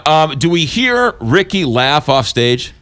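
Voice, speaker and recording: A man's voice: on the high side, very animated, unhurried, a bit bright. An American man hosting a casual radio interview on a close studio microphone. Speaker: radio show host.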